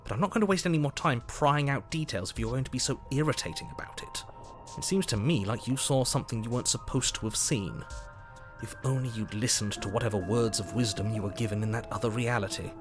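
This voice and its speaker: A man acting as the stern, irritable narrator of a dark fairytale horror game, speaking in a deliberate, literary way. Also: medium pitch, expressive, music in the background, lightly acted. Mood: irritated. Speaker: narrator of a horror visual novel. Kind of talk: scripted narration.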